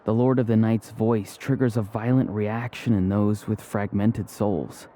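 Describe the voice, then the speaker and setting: Man voicing young male game warrior, very mellow, serious, measured delivery, faint background noise. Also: fairly low pitch, level tone, close to an everyday voice. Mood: grave, reflective. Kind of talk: English video game character acting.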